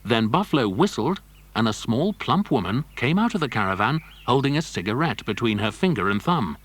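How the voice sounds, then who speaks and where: A man's voice: medium pitch, sing-song, a little fast, fairly bright tone, a little hiss or hum. A man narrating a children's adventure story from a script.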